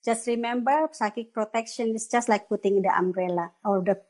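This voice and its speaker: A woman's voice: on the high side, brisk, dark tone. Laughing, rambling Indonesian-accented woman. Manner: laughs often, rambling broken phrasing. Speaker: guest workshop teacher. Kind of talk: casual live-stream chat.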